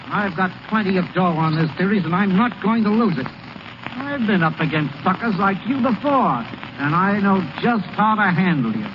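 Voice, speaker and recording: An actor playing a slick, menacing gambler in 1930s radio style, plain-spoken and insinuating. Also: male voice, high-pitched, animated, moderate pace. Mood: threatening. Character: slick, threatening male gambler bribing a ballplayer. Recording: muffled, hissy 1930s radio recording.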